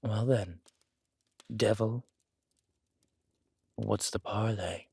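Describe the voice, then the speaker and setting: A deep, gravelly villain king acted by a man for an audio roleplay. He speaks quietly and deliberately, with a weary dry wit and understated menace. The sound is intimate, with no room echo. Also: fairly even intonation, exaggerated voice. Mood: dryly amused.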